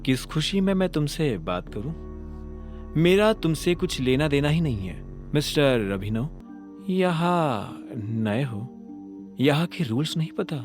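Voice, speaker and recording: A man voicing a strict, haughty young woman in an audio drama, with curt, deliberate delivery. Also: fairly high pitch, big pitch swings, faint music behind. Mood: cold, haughty.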